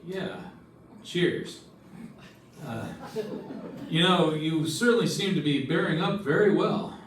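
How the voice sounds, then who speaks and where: A man's voice: on the high side, expressive, slow, a little hiss or hum. A man playing a dry, probing insurance investigator in an old-time radio detective drama, with wry, clipped questioning. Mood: polite probing. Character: dry, probing male insurance investigator narrating his case. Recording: roomy, distant stage recording with music.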